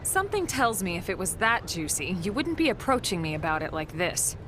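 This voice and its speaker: An actress playing a cool, shrewd squad commander in a game, dry, measured and skeptical. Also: female voice, lowish voice, very animated, background noise. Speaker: voice actor in a game cutscene. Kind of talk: game cutscene acting. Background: music.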